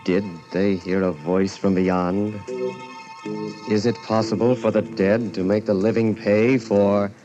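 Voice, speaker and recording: Casting: male horror narrator, deep-toned, portentous, muffled vintage radio with music underneath. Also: fairly even intonation, a little slow, lightly acted. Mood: ominous. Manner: ominous, theatrical. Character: ominous horror show announcer. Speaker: announcer and narrator. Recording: muffled old radio, organ music behind.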